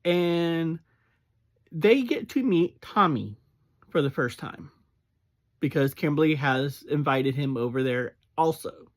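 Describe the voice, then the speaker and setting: Laid-back fan reviewer rambling along slowly, mid pitch, chatty with some ums. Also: lively intonation. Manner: easygoing and chatty, with laughs and "um"s. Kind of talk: casual spoken review.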